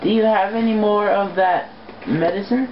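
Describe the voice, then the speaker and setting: A woman's voice: medium pitch, moderate pace, reverberant, crowd noise, natural voice. A woman voicing a brash, needy young female pegasus pony in a casual, woozy storybook reading, on a lo-fi home recording. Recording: dull lo-fi home recording, background noise.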